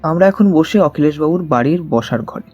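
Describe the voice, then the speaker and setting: A man telling a detective thriller in the first person, in an expressive, conversational storytelling style. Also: a little high, normal speed, breathy edge, soft background music. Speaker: narrator of an audio story.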